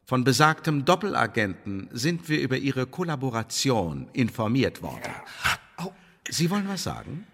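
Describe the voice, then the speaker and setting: Scornful spymaster interrogator, radio drama, husky, pompous officialese, menacing, animated intonation, long hall reverb. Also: male voice, medium pitch, moderate pace, full character voice. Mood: scornful, superior. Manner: pompous officialese mixed with sardonic colloquial jabs. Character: imperious male secret service interrogator, scornful and menacing. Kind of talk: German radio play acting.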